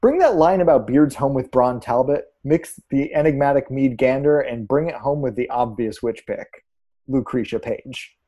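Casting: actor as measured theatre pundit, male, dark relaxed voice, analytical, easy scripted banter. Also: mid-range voice, natural voice. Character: measured, analytical co-host giving casting odds. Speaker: co-host of a theatre prediction show. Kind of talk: scripted podcast banter and commentary.

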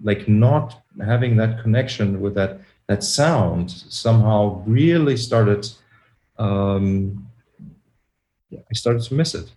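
A man's voice: on the low side, slow, mellow. Guy chatting, keeps restarting sentences, echoey room. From Germany. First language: German. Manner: hesitant, searching for words, many restarts. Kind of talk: casual conversation.

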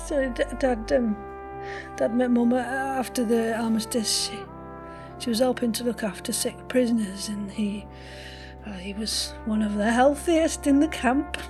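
High-voiced, insistent older woman played by an actress. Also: over music, lightly acted. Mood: wistful, halting. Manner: halting, insistent, emotional. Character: aggrieved, persistent daughter of a Russian prisoner of war. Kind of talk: naturalistic audio drama dialogue.

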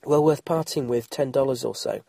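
A man's voice: medium pitch, normal speed, creaky, dark tone, dry recording. A middle-aged man with an English accent, bunged up and throaty with a cold, chatting informally as a solo podcast host with wry, self-deprecating asides. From England. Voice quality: congested, throaty.

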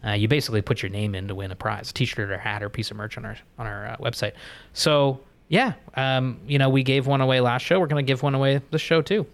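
A man's voice: medium pitch, fairly even intonation, fast, few pauses. A man co-hosting a podcast in casual, slangy, informal banter. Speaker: podcast co-host.

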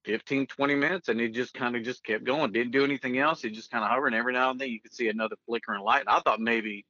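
A man's voice: medium pitch, steady tone, rapid-fire, sharp tone, no echo, dull audio. A middle-aged American man from Oklahoma telling a personal story as an interview guest in a folksy, rambling way. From northeastern Oklahoma, USA. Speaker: guest, retired Air Force veteran.

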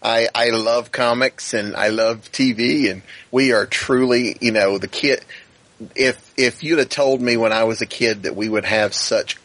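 A man's voice: medium pitch, fairly even intonation, unhurried, a bit bright. A man who is a guest writer on a podcast, speaking in an earnest, enthusiastic way. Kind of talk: casual podcast chat.

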